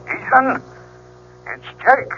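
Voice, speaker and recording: A man's voice: slow, lo-fi sound, soft background music. An actor playing a sombre, hesitant man speaking through a telephone filter in a radio drama. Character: man gently breaking bad news by telephone. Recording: thin telephone sound, faint noise.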